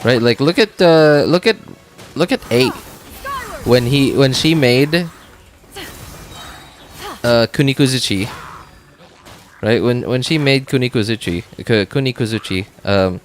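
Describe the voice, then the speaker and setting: A man's voice: medium pitch, a little slow, long pauses. A man streaming a game in a casual, rambling chat, thinking aloud and tossing in exclamatory asides. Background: game music and dialogue.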